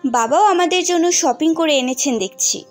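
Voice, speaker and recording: A woman playing an eager young sister in an audio story, excited and impatient. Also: sits high, normal speed, faint music behind, natural voice. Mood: eager excitement. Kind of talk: Bengali audio story acting.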